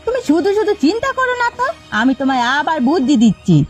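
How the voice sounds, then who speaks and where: Scheming cartoon wife played by a woman, high, quick and reproachful, faint music underneath. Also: big pitch swings. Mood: scheming, reassuring. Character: scheming, nagging village wife of a chicken thief. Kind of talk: animated folk-tale cartoon acting.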